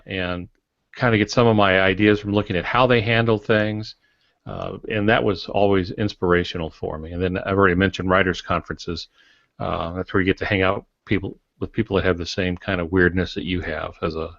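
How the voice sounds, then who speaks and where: A middle-aged man who writes fiction, chatting on a podcast in a measured, explanatory way with dry humor. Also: deep voice, steady tone, gravelly, fairly bright tone. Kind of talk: casual podcast discussion. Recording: dull audio in echoey room.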